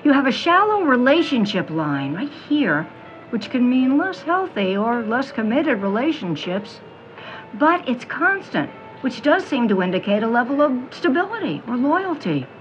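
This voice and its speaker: A woman playing a fortune-teller in an audio drama, reading palms and cards with slow, portentous pauses. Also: a little high, sing-song. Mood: mystical, portentous. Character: theatrical fortune-teller, businesslike about payment. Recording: dull sound with music behind.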